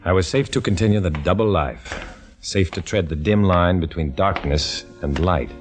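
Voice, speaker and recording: A man's voice: sits low, moderate pace, music in the background, lightly acted. An actor playing a tough undercover agent who tells his own story in hard-boiled first-person narration, in a 1950s radio drama. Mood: reflective.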